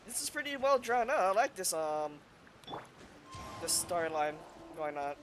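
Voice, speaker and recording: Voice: medium pitch, very animated, a little slow, faint music behind. A gamer musing casually to themself while playing, in chatty commentary.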